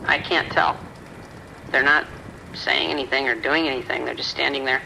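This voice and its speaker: Man playing tense, sharp-voiced patrol deputy. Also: mid-range voice, steady tone, moderate pace, creaky, a little hiss or hum, exaggerated voice, poor audio. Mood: uneasy. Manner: terse radio reports, tense. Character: male patrol deputy, uneasy, bewildered, obedient. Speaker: police deputy. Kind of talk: audio drama acting. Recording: radio calls with rain behind.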